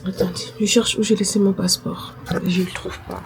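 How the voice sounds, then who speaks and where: A woman's voice: medium pitch, flat delivery, fairly quick, creaky. Young female vlogger, chatty, talks to herself as she goes, noisy phone recording. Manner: chatty, thinking aloud with fillers. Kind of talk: casual vlog chat to camera.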